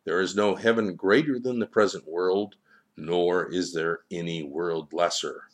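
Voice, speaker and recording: A wise storybook child, read by a man, stern and all-knowing. The voice stays low and solemn, laying out each admonishment in firm, repeated declarations. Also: fairly even intonation, unhurried. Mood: solemn, admonishing. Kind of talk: storybook character dialogue.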